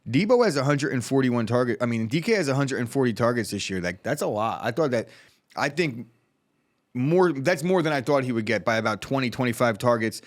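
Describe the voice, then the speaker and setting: Male co-host, mid pitch, opinionated and quick, casual sports talk. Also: expressive. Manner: fast opinionated talk, many restarts.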